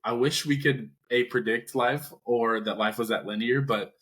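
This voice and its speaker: A man's voice: medium pitch, fairly even intonation, moderate pace, fairly bright tone. A man hosting a podcast, joking and casual as he interviews a guest. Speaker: podcast host.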